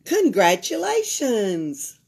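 Library storyteller: older woman, Southern US accent, creaky raspy voice, leisurely expressive read-aloud for children. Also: a little high. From Alabama, United States. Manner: expressive, pausing to comment to young listeners. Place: indoors at a library table.

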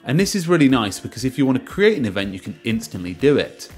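A man narrates a software walkthrough in a casual, explanatory way, with a mid-range voice. He leans into the start of each sentence and lets the endings drop away, while music plays behind him. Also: medium pitch, very animated, fast talker, breathy edge. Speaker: software reviewer and presenter. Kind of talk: casual narrated screen-share software review. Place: voice-over to a screen recording.

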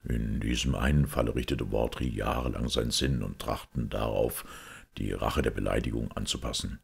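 Shrewd priest sleuth read by a man, audiobook: very low, raspy, grave and measured. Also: fairly even intonation, heavily acted. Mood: grave, probing. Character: calm, shrewd priest detective explaining a crime.

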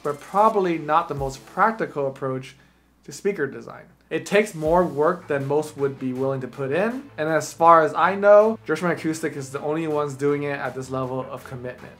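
A man's voice: fairly high pitch, a bit bright. Man reviewing gear over background music. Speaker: audio reviewer and video host. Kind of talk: scripted review to camera. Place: small dark indoor studio, seated.

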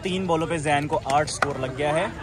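A man's voice: on the high side, normal speed. A man giving live ball-by-ball commentary on an amateur cricket match at an outdoor floodlit ground, calling every ball. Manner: excited commentary, rising on big shots. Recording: crowd noise from an outdoor ground. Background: players and crowd chatter.

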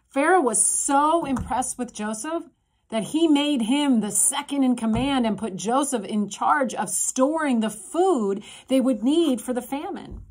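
A woman in her thirties, a pastor, telling a children's sermon in a gentle, simple storytelling way, recorded on a phone in a small room. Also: on the high side. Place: small indoor room with a block wall. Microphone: phone camera at arm's length.

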